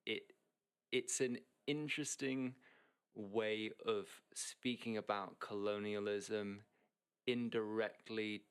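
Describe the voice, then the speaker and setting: A man's voice: on the low side, fairly even intonation, slow pace, clean tone. A young man who grew up in Hong Kong, a guest chatting casually, rambling with many fillers and restarts. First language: English. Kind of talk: casual interview chat.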